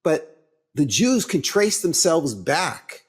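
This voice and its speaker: A man giving a religious lecture as a rabbi, with halting, deliberate phrasing and emphatic pauses. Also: a little high, big pitch swings, slightly rough.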